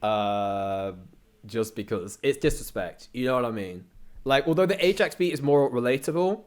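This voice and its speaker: Young British male guest, average pitch, casual slangy delivery, rambling anecdotal chat. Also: animated, normal speed, clear, resonant tone. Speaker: interview guest, content creator.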